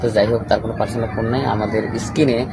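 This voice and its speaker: A man's voice: lowish voice, flat delivery, rapid-fire. A man with a Bangladeshi accent hosting an interview, addressing his guest and the viewers. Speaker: interviewer and host. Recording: outdoor street noise with traffic.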